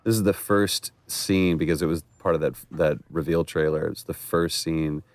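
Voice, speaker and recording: A man's voice: extremely low pitch, steady tone, normal speed, no echo. A man, an actor, giving casual, reflective and appreciative audio commentary on his game.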